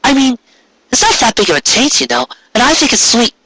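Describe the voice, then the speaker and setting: A bashful, loving pony wife from a storybook fan fiction, acted out by a man. The voice is pitched well up, bright and breathy. She speaks haltingly, with sweet hesitation. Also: animated, no echo, heavily acted, poor audio. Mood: hopeful, unsure. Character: tender, bashful married female cartoon pony.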